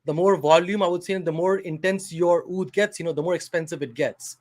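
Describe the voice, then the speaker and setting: A man's voice: high voice, fairly even intonation, fairly quick, darkish tone. A man from Pakistan, a company CEO, answering interview questions hesitantly over a video call, with many fillers and restarts.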